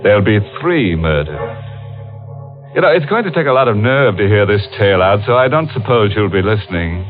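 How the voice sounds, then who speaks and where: A man's voice: on the low side, very animated, a little fast. A man hosting a scripted 1940s radio horror show, sardonic, theatrical and mock-sinister. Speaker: host. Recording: tinny old radio recording. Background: music.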